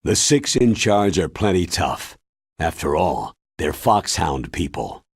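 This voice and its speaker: A man voicing a grave, authoritative military commander in a video game, explaining in a measured, solemn way with a husky voice. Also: deep voice, big pitch swings, no echo, clean studio sound, exaggerated voice.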